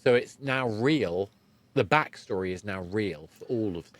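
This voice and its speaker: A man hosting a podcast interview, explaining in a measured, reflective, conversational way. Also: lowish voice, expressive, dry recording. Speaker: podcast host.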